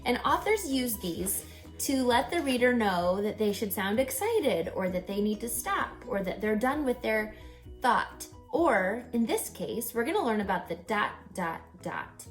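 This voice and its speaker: Slow, expressive woman reading to children. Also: on the high side, faint music behind. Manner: deliberate, instructive, dramatic pauses. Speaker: teacher reading a picture book aloud. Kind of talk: expressive children's read-aloud with questions to young listeners.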